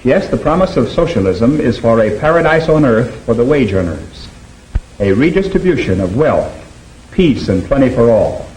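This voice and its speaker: A man reads 1950s documentary narration at a middle pitch. His delivery is steady and grave, and he explains each point plainly. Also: normal speed, a little hiss or hum, rough recording.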